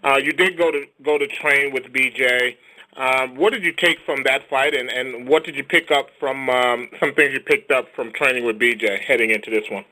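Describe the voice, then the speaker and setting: A man's voice: medium pitch, steady tone, moderate pace, bright, forceful tone, low-quality recording. A man, a reporter asking long, meandering questions on a press conference call. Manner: rambling questions full of "uh" and "um". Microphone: telephone conference line.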